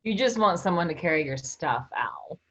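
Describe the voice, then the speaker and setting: A woman's voice: fairly low pitch, lively intonation, moderate pace, fairly bright tone. A woman playing a practical, teasing member of a travelling theater troupe in a staged play reading. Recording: dull, lo-fi sound.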